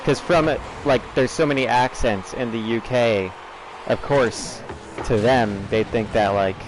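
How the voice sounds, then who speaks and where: A man's voice: medium pitch, measured pace, background noise. A young American man with a General American accent, chatting loosely and laughing as he streams a game and talks to his chat. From United States. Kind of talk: casual livestream chatter over gameplay.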